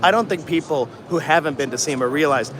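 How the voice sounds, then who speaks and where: An easygoing man with a slightly high voice banters and chuckles as he walks a trade show floor. His phone-recorded voice sits over a steady crowd murmur. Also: fast. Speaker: co-host. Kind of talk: casual livestream banter. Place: busy trade show hall. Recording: phone audio in crowded hall.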